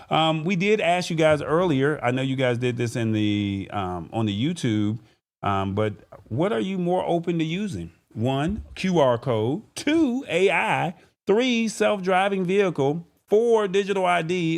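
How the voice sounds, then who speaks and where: A man hosting a live-streamed talk show in an informal, conversational way, speaking directly to viewers. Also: a little high. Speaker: show host.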